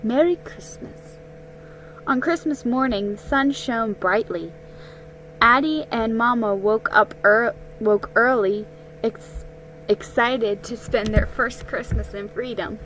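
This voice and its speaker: A woman giving a dramatic reading of a children's story, careful and a little halting. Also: on the high side, fairly bright tone, soft background music, poor audio. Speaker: storybook reader.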